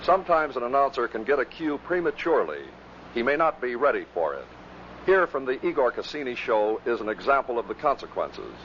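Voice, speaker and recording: A man's voice: medium pitch, sing-song, a little fast, fairly bright tone. A man reading scripted narration as the presenter of a compilation of radio clips. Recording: dull vintage recording with faint noise.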